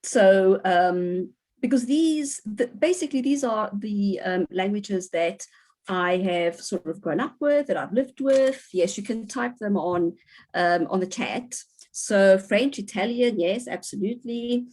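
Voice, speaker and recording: A woman's voice: medium pitch, measured pace, a little mellow. A middle-aged woman from South Africa, a university lecturer, giving an online lecture in a chatty way with many fillers and picking up audience answers from the chat.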